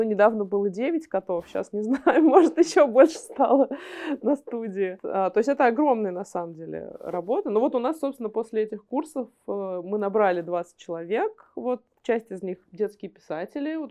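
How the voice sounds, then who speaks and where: A woman's voice: medium pitch, lively intonation, moderate pace, dark tone. A woman being interviewed as a guest, explaining things conversationally and often rephrasing herself mid-sentence. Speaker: interview guest from an animation studio.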